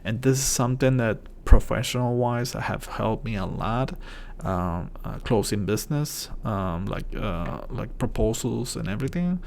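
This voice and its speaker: A native Spanish-speaking man with a Puerto Rican accent hosts a casual tech tips vlog in English. His gravelly, creaky voice sits at an average pitch, and he often pauses on fillers and restarts his sentences. A faint hiss of background noise sits under the recording. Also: darkish tone. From Puerto Rico. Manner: hesitant, many fillers and restarts. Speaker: channel host.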